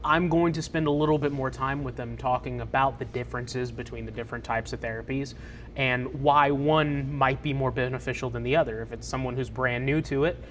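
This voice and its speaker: A man's voice: mid-range voice, a bit bright, a little hiss or hum. A man co-hosting a casual chat, explaining how he guides customers and now and then voicing what a customer might say. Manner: explanatory, acts out customer quotes. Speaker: sauna salesman co-host. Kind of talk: casual sales advice chat.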